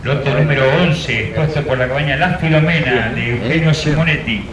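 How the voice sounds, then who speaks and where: A man announcing award winners at an outdoor cattle show, in brisk, declarative calls. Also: mid-range voice, over a noisy crowd. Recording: echoing distant PA with noise.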